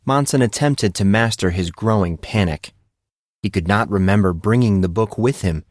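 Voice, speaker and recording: Low-pitched man narrating a story. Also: moderate pace, dry recording, studio quality. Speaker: audiobook narrator.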